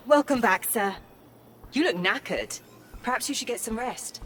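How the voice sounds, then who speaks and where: Attentive AI helper, lively intonation, deferential, game dialogue, soft music behind. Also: female voice, on the high side, measured pace. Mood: caring, polite. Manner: deferential, composed. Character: polite, attentive female AI assistant.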